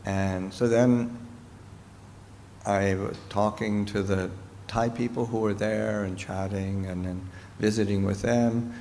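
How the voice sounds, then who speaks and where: A middle-aged Canadian-raised monk answers questions slowly and thoughtfully, pausing often. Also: male voice, fairly low pitch, steady tone, a little mellow. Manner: slow, halting, thoughtful speech with pauses. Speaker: Buddhist monk and teacher. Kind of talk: Dharma talk Q&A answer. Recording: reverberant hall with faint noise.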